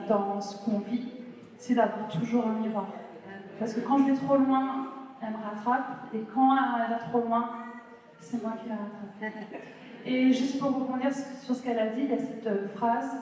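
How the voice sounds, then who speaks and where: A woman hosting a church women's conference, speaking in an animated, affectionate way as she thanks a speaker and introduces the next. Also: a little high. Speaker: conference host. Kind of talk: stage hosting, friendly remarks. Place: large church hall. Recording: echoey hall, voice from far off.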